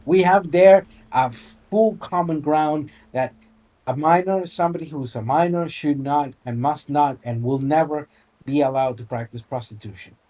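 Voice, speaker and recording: A man's voice: fairly high pitch, normal speed, warm tone, low-quality recording. A man in his forties debating informally, talking discursively with formal wording mixed with swearing. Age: 40s. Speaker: debate participant.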